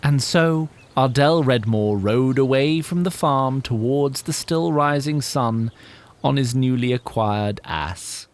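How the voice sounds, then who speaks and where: A man narrating a fantasy audio drama in a deliberate, literary storybook style. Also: mid-range voice, lightly acted. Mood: measured storytelling.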